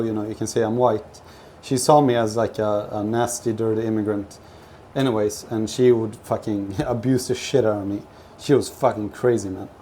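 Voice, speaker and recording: A man's voice: lowish voice, mellow. Rambling, sweary man over kitchen noise. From Sweden. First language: not Swedish; grew up with several languages. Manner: rambling, sweary, halting with repeats. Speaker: livestreamer chatting with viewers. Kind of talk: casual livestream chat, personal storytelling. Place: home kitchen while cooking. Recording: clear kitchen noise, frying food. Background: frying food, kitchen ambience.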